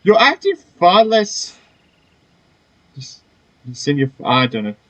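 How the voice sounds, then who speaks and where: A man's voice: high-pitched, huge pitch swings, a little slow, dull audio, slight background noise. A man doing casual playthrough commentary, reading game dialogue aloud and muttering off-the-cuff asides. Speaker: gaming streamer.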